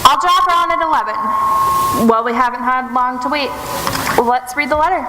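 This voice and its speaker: Casting: female actor as cool, knowing lady detective, high voice, theatrical old radio mystery, noisy live stage recording. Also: moderate pace, lightly acted. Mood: dry, shrewd. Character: shrewd, composed lady detective, coolly probing. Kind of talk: old-time radio detective drama acting. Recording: echoey stage hall with noise.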